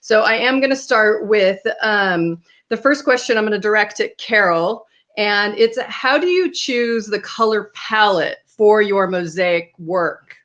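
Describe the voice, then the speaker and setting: A woman's voice: medium pitch, unhurried, fairly bright tone, muffled recording. Upbeat woman moderating a panel. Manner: upbeat, enthusiastic, directive. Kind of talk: hosting a panel. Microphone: video call.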